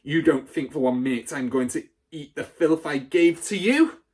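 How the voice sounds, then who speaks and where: A storybook reader voicing a huge, cruel, tyrannical headmistress who barks and booms insults. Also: lively intonation, slow pace, a little mellow, breathy edge. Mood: furious, bellowing. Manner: barking, booming insults. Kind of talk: storybook read aloud.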